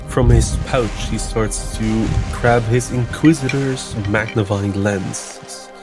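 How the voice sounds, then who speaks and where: A man playing a tabletop roleplaying game, speaking as his character and describing its actions. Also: fairly low pitch. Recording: music behind the voice.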